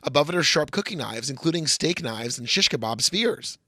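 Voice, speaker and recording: A man's voice: on the high side, expressive, normal speed, bright, forceful tone, dry recording. A man co-hosting a comedy podcast, bantering and giving dramatic readings of a screenplay in a bossy, sarcastic, mock-earnest way. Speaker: podcast co-host.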